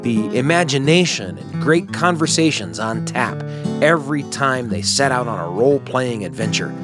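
A man's voice: medium pitch, big pitch swings, normal speed. A man hosting a radio show in a studio, effusive and welcoming, with a conversational scripted delivery. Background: music with plucked guitar.

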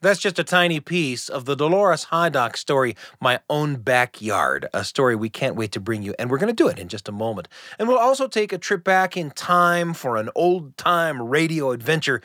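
Animated middle-aged male radio host. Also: on the high side, normal speed, dry recording, clean studio sound. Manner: expressive, conversational storyteller delivery. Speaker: radio storytelling show host. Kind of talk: scripted narration. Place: dry studio.

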